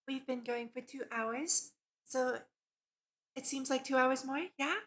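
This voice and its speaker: A woman livestreamer reading a visual novel aloud and chatting casually, with a giggly, expressive manner. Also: sits high, unhurried. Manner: giggly, expressive, exclaims in reaction to the text. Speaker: VTuber streamer hosting a visual novel read-along.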